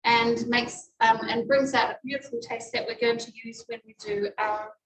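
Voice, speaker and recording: A woman's voice: on the high side, flat delivery, moderate pace. A woman with a New Zealand accent teaching a live cooking class, chatting as she explains each step while she cooks in a kitchen. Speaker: cooking instructor. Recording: echoey kitchen, distant mic.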